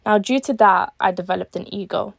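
A woman's voice: mid-range voice, gravelly, breathy edge, no echo, studio quality. Earnest teenage girl reading a podcast script. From born in Algeria, raised in East London. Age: late teens. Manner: reads from a script, earnest and measured, trailing off quietly at line ends. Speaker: podcast host. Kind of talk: scripted solo podcast monologue.

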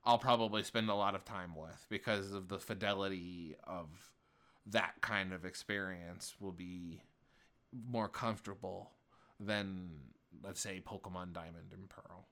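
A man's voice: sits low, measured pace. A man in his late twenties in casual, rambling chat, often breaking off and restarting. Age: 20s. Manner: rambling, animated, self-interrupting.

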